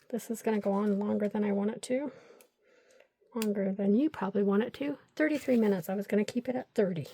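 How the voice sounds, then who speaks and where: A woman's voice: a little high, warm tone. An older woman hosting a crafting vlog, chatting and rambling through stories while she glues paper pieces. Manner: talks to herself while gluing. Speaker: crafting channel host.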